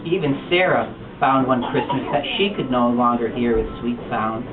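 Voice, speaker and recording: A man reading a picture book aloud at a bookstore storytime, telling it with expressive animation. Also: mid-range voice, normal speed, over music. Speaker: storytime reader. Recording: distant echoey lo-fi room recording with noise.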